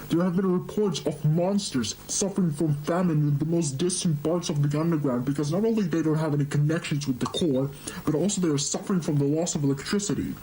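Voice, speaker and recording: A man plays a worn-out monster queen in a fan horror drama, anxious and scolding. The tone is dark and mellow, fairly high for a man, over faint noise. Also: quick pace, natural voice, low-quality recording. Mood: exasperated, scolding.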